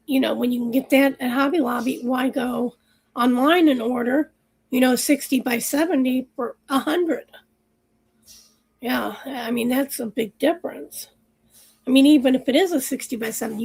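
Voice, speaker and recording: A woman hosting a livestream, chatting casually and rambling with viewers while her voice is going hoarse. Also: on the high side, darkish tone, rough recording. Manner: chatty, rambling, lots of fillers. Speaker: livestream host. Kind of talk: casual chat with live chat viewers.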